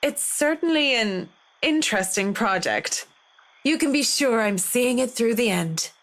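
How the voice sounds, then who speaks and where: A wry, curious young heroine. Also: female voice, fairly high pitch, expressive, unhurried, fairly bright tone, low-quality recording. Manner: casual, wry, slightly hesitant. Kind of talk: voiced video game dialogue.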